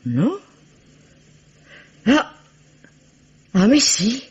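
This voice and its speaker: A flustered working-class cleaning woman who stammers and blurts things out, her husky voice swooping up and down in a lively way. This is 1950s radio drama acting. The sound is a dull, muffled old radio recording. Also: a little high, drawn-out words, heavily acted. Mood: chatty. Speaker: witness.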